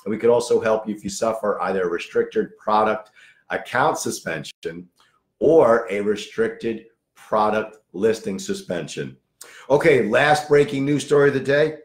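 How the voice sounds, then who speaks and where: A man in his fifties with a hoarse voice, a lawyer giving a direct news briefing and repeating key points for emphasis. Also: mid-range voice, roomy sound. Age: 50s. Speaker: lawyer for online sellers.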